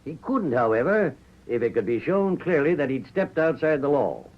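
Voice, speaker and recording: An actor playing a firm, middle-aged American ranch owner reasoning in a measured, articulate way in a 1940s radio Western. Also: male voice, fairly high pitch, sing-song. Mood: persuasive. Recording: muffled vintage 1940s radio recording.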